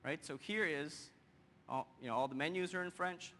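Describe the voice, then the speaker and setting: A man in his thirties gives an energetic software demo on a big conference stage. His voice is bright and forceful, carried by a lapel mic. The long reverb of a large hall and a faint noise floor trail behind his words. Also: on the high side. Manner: energetic, quick and joking with the audience. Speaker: presenter.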